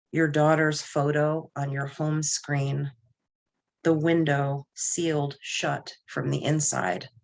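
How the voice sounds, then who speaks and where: Woman, low voice, unhurried slow pace, poetry reading, solemn, measured phrasing. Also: darkish tone. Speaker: poet reading own work. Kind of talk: poetry reading with brief spoken introductions.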